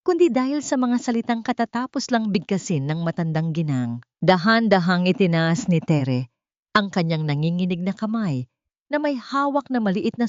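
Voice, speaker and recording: A woman's voice: deep voice, a little mellow. A woman narrating an audiobook drama in a solemn, melodramatic scripted style. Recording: dull lo-fi audio with room echo.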